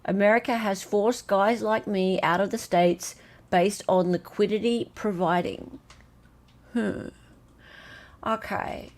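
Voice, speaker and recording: An Australian woman hosting a casual live-stream chat, excitable and talkative, reading out viewers' comments. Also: mid-range voice, slow pace. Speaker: livestream host.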